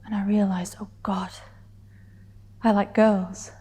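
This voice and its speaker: A woman's voice: medium pitch, little pitch movement, mellow. Hurt, defiant woman, acted out in reverberant room. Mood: confessional, tender. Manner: intense, halting, drops to breathy whispers. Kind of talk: dramatic stage monologue.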